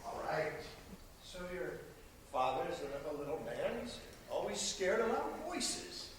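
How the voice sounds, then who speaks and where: A man on stage playing an older father, blustering and folksy, with emphatic, halting speech. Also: fairly high pitch, sing-song. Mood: pleading. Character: blustering, pleading, jovial older father, defensive businessman. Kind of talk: projected stage play acting. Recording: echoey stage hall, distant camera, noisy.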